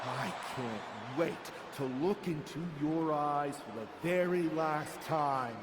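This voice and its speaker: A man playing a vengeful, betrayed pro wrestler in a game, delivering a bitter, confrontational promo that swings from menace to shouting. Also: high-pitched, very animated, slow, exaggerated voice. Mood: furious challenge. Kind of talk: dramatic in-ring wrestling promo. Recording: echoey arena hall, noisy background.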